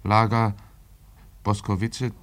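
A reasonable mediator in a German radio drama. His voice sits on the low side, and he talks in a measured, soothing way as he urges others. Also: little pitch movement, a bit bright. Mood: sober, questioning. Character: reasonable, calming mediator urging the truth.

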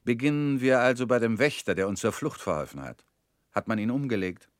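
An actor in a radio play playing a calm former prisoner who questions in a cool, probing, slightly ironic way. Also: male voice, medium pitch, a little fast, no room sound, studio quality, lightly acted.

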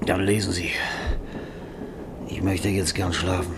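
Exhausted sick writer in old radio drama, creaky voice, groans and whispers, wistful, bit noisy recording. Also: male voice, low voice, level tone, a little slow. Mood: exhausted, fading. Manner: weary, groaning, sighing, breaking into whispers. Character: ailing, exhausted middle-aged male novelist, irritable and wistful. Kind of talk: German radio drama acting.

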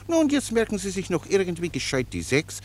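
An actor in a German radio play, playing a cheerful, long-winded common soldier who explains things pedantically with naive obedience. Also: male voice, high voice, sing-song, fast, a little hiss or hum. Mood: patient, explaining. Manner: chatty, rambling, pedantically explanatory.

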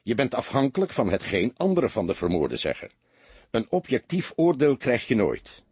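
A man voicing a seasoned, laconic police inspector who lectures his young partner calmly and a little gruffly, with a husky voice. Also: mid-range voice, normal speed, no room sound. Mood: reflective.

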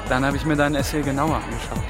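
A man's voice: medium pitch, steady tone, rapid-fire, close to an everyday voice. A man playing an anxious, earnest young trainee official in a radio play. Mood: earnest, puzzled. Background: music.

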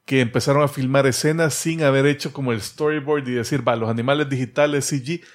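A man's voice: medium pitch, fairly even intonation, quick pace. A man co-hosting a casual pop culture podcast, rambling and restarting often. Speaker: podcast co-host. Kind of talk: casual chat.

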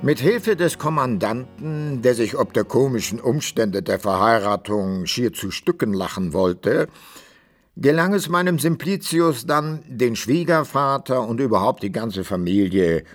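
Male radio-play narrator, medium pitch. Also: animated, measured pace, soft background music.

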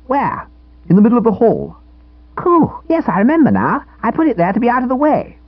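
A man's voice: very high-pitched, big pitch swings, fairly quick, dry recording, highs cut off, voice pushed up, exaggerated voice. A man putting on a chirpy pestering small boy. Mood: eager pestering. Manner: chirpy, insistent. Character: smug grubby boy scout, eager and pestering. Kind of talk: audiobook reading.

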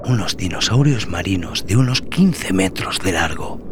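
A man narrating dramatic scripted horror as a podcast host, brooding, theatrical and deliberate in his delivery. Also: low-pitched, lively intonation, background noise. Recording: loud music under the voice.

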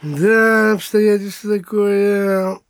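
An actor playing a self-important, ponderous provincial judge with grand theories, in a theatrical audio drama. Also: male voice, high voice, steady tone, very slow, full character voice. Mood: portentous. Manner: ponderous, portentous.

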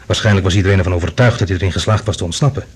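A man's voice: deep voice, racing, slightly rough. A male actor playing an agitated, earnest man bringing alarming news in a science fiction radio play. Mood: grave, tense. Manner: urgent, earnest, dramatic.

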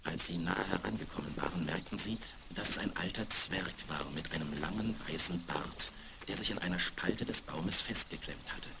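A man's voice: low voice, moderate pace, gravelly. A man narrating a scripted fairy tale for children as storyteller, on a crackly 1970s vinyl record.

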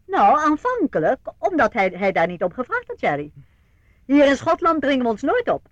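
An actress playing an elderly, eccentric aristocratic aunt in a radio play, grand, chatty and blithely macabre. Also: female voice, sits high, huge pitch swings, normal speed, no echo, lo-fi sound. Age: older.

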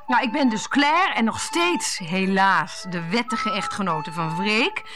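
A woman's voice: mid-range voice, expressive, measured pace, music in the background, lightly acted. A woman playing a sour, sarcastic, scolding wife in a radio play. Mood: wry.

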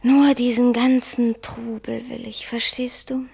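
Amanda, a breathy, restless young wife. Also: female voice, a little high, level tone, mellow, full character voice. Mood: wistful, insistent. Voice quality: breathy, often sinking into whisper. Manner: dreamy, intense, drawn-out phrases. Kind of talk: German radio play acting.

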